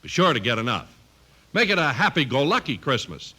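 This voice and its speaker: Bright, animated male radio announcer. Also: mid-range voice, a little fast, husky. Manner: emphatic salesman delivery. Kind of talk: 1950s scripted radio advertising and credits.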